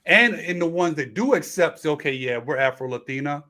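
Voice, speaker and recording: A man's voice: fairly high pitch, expressive, brisk, bright, forceful tone. A man hosting a casual late-night panel discussion, explaining at length and restarting his sentences. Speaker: host.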